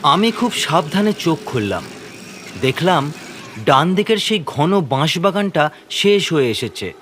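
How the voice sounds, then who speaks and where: A man's voice: a little high, very animated, measured pace, a bit bright, a little hiss or hum. A man narrating a first-person horror story in a suspenseful, theatrical manner.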